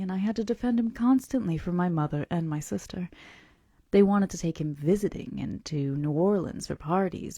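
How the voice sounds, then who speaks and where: Woman voicing a gentle, mellow, melancholy male vampire. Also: fairly low pitch, slightly rough, no room sound, clean studio sound. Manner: gentle, measured, confidential. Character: calm melancholy male vampire recounting his past. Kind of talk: audiobook-style character reading.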